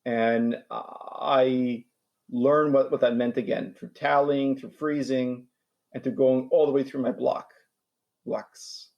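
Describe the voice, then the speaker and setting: A man's voice: medium pitch, flat delivery, slow pace, gravelly, dull audio. Man in his forties who stutters. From New York, USA. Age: 40s. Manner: stutters, with repeated words and restarts. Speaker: guest. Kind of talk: casual interview talk.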